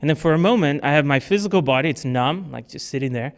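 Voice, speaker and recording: A man's voice: fairly high pitch, animated, fast. A man from Portugal giving a talk to a club audience, answering questions in a casual way with many fillers and restarts. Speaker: speaker. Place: club meeting room.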